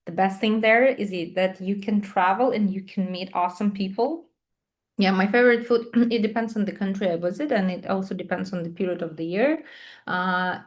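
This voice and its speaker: A woman in her thirties from Ukraine with a Ukrainian accent, answering interview questions on a video call in thoughtful, halting English with many pauses and fillers. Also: mid-range voice. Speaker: guest, English teacher and patient advocate.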